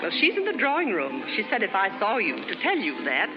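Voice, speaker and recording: Prim, fretful society matriarch, animated swooping pitch, disapproving, muffled vintage radio drama. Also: female voice, a little fast, music in the background. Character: snobbish, fretful middle-aged society mother. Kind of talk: 1930s radio acting. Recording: muffled 1930s radio broadcast.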